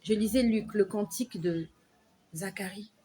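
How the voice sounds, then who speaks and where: A woman giving an earnest devotional exhortation to her viewers, repeating phrases slowly and letting her voice sink soft at the ends of lines. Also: mid-range voice, background noise. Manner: earnest, repetitive, slow emphatic phrasing. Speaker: online preacher.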